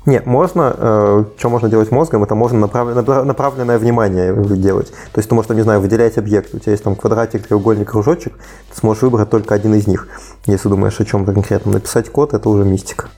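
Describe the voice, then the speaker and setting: A man in a casual panel discussion who argues quickly and bluntly, correcting the others. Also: fairly low pitch, fairly even intonation, mellow, faint music behind.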